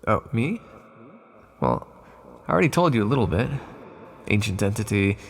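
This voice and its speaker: A man voicing an ancient, imprisoned villain in an ASMR roleplay, condescending yet lonely and flirtatious, with smug, articulate, softly teasing delivery. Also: big pitch swings, normal speed. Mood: wistful, self-conscious. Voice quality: deep, gravelly, husky. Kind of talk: ASMR roleplay monologue to the listener.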